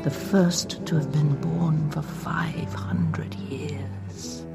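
An actress playing an ancient, grave immortal woman with a breathy, creaky voice, prophesying in an audio drama. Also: low-pitched, steady tone, extremely slow, whispered, exaggerated voice. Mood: solemn, hushed. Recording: dramatised scene over loud music.

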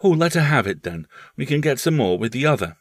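A man's voice: mid-range voice, sing-song, brisk, no echo, clean studio sound, pitched above the performer's own voice, natural voice. A man voicing an intense, anxious young boy who pleads and insists. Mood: eager.

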